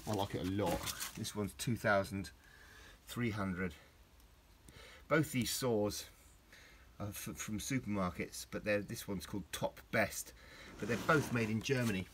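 Rambling man, low voice, outdoors. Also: unhurried, fairly bright tone, slight background noise. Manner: rambling, with many restarts and fillers. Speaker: DIY vlogger. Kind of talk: casual hands-on demonstration. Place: outdoors in a garden.

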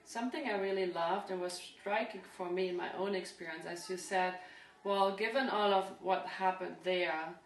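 Low-voiced woman interviewer, roomy and far. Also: fairly even intonation. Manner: warm affirming replies, quick agreement. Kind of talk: conversational interview. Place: living room by a fireplace. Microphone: far camera. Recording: roomy, distant sound.

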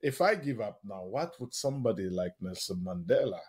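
A man's voice: fairly low pitch, lively intonation, fairly quick, a little mellow, husky. A man answering in a conversational interview as a professor, emphatic, posing rhetorical questions and repeating phrases.

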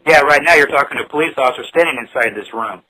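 A man's voice: medium pitch, very fast, noisy background. A man speaking brusquely as a police officer on a telephone call.

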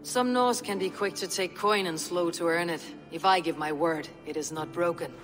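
A woman plays a proud Viking warrior woman in a game cutscene. She speaks in a low voice, blunt and measured, over clearly audible music. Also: fairly even intonation, natural voice. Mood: firm, resolute. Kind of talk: video game dramatic acting.

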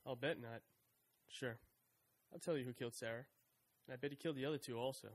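A man's voice: medium pitch, fast, natural voice. A young man voicing a cocky, wisecracking slacker who talks in laid-back slang. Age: young adult. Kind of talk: audio drama acting and narration.

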